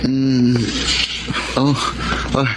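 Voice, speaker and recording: An actor with a Filipino accent playing a stubborn, defensive young workaholic in a radio drama. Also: male voice, mid-range voice, completely flat delivery, extremely slow, very noisy. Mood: groggy, insistent.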